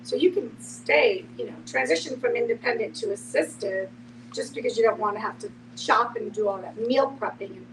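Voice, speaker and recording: A woman explaining things casually and conversationally in a group discussion. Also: a little high. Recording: distant mic with clear noise and hum.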